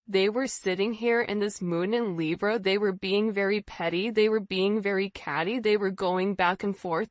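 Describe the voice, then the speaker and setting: A woman's voice: mid-range voice, no room sound, highs cut off. A woman giving an informal tarot reading straight to her viewers, speaking emphatically and conversationally with rhetorical questions. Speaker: tarot reader, channel host.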